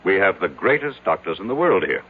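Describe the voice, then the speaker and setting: A hard-driving, skeptical tycoon in an old radio play. His deep voice is curt and commanding, with very lively intonation, on a tinny broadcast recording. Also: a little fast, slightly rough, heavily acted. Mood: brisk, commanding. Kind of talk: 1970s radio drama acting. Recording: tinny band-limited old radio, faint hiss.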